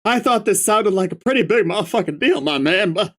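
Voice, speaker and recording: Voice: medium pitch, animated, a little fast, pitched above the performer's own voice, close to an everyday voice. A reader voicing a young male stoner clown troll with a slurred, clownish drawl who swears lazily and marvels at everything. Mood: lazy awe. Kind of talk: reading a webcomic aloud with voices.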